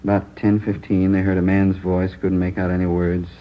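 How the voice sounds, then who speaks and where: A man's voice: low-pitched, normal speed, close to an everyday voice. An actor playing a male police lieutenant who steadily reports what neighbors heard on a murder night, in a 1950s radio crime drama. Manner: steady, methodical report reading. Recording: dull old radio broadcast recording.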